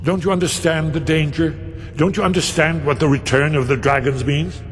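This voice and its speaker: Grave doom-warning warrior for a game, played by a man: urgent, raised pitch, big reverberant space. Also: fairly even intonation, normal speed, faint music behind, lightly acted. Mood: urgent, reproachful. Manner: urgent, oratorical, scolding. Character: grave, impassioned warrior pleading against doom. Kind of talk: dramatic fantasy game dialogue.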